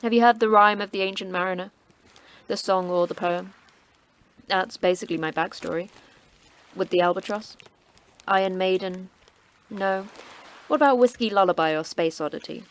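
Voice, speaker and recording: An actress playing a terse, cryptic station manager who trains in martial arts, speaking in a curt, dry, sardonic way. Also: female voice, medium pitch, moderate pace, long pauses, close to an everyday voice. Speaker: station manager of a secret facility.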